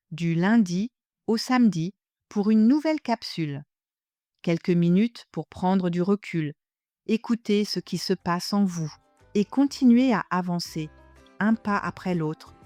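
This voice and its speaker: An older woman from Germany, a native German speaker, reads scripted podcast narration as host, in a measured, reflective manner, addressing the listener directly. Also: on the low side, warm tone, dry recording. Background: music.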